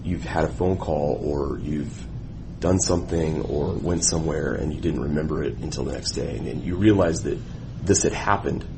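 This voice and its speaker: A man working as a police investigator, questioning someone in a methodical, halting and deliberate way. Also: a bass voice. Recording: noisy interview room recording.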